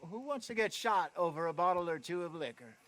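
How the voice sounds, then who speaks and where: An actor playing a genial, sly older con artist in a western video game, with a polite, theatrical, teasing way of smooth-talking. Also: male voice, high voice, lively intonation, a little fast. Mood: sly, bargaining. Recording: clear wagon and hoof noise. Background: horse-drawn vehicle, hoofbeats.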